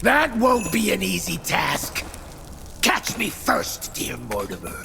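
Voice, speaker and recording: An actor playing a mad executioner in a merry mask, theatrically speaking in sing-song, menacing rhymes for a video game. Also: male voice, high-pitched, huge pitch swings, slow pace, creaky, music in the background, heavily acted. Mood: taunting. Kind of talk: video game villain acting.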